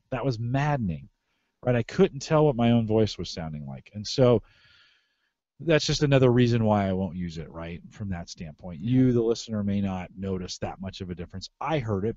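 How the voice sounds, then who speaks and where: A man's voice: lowish voice, dark tone, no echo, highs cut off. A middle-aged American man hosting a casual tech podcast, repeating phrases for emphasis. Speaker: podcast host. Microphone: close podcast microphone through mixer.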